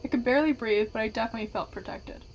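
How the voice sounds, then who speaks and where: An actress playing a curious, courteous investigative podcaster in an audio drama, speaking conversationally. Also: female voice, on the high side, racing, a little hiss or hum, lightly acted, poor audio. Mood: wry, explanatory. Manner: courteous, conversational, addresses listeners directly. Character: curious, polite female investigative podcaster among zombie fighters.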